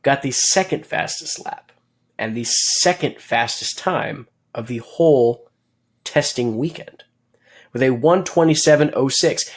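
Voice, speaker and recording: A man co-hosting a podcast, recapping racing in casual chat, rambling with many fillers and jokes. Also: a little high. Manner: rambling, filler-heavy, joking and laughing. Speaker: podcast co-host.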